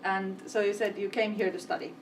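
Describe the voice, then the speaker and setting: A woman's voice: medium pitch, flat delivery, a little fast, noisy background. A woman hosting a live event panel, asking long, winding questions. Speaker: interviewer and event host. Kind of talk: panel interview. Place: event venue. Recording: distant mic with faint ambience.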